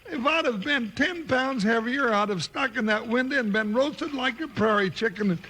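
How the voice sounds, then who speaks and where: An actor playing a comic, excitable cowboy sidekick with folksy, grumbling American talk in a 1950s radio Western. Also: male voice, normal speed, breathy edge, full character voice. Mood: indignant. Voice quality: high-pitched, bright voice. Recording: noisy old 1950s radio recording.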